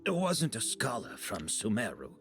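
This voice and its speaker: An actor playing a weary, ailing man in a video game, speaking slowly and solemnly with resignation. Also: medium pitch, huge pitch swings, soft background music.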